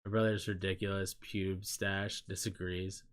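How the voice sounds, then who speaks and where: A man's voice: deep voice, level tone, a little slow, clean tone. Rambling young man, casual chat. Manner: loose, chatty, trailing off into quiet asides. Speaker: streamer chatting with viewers.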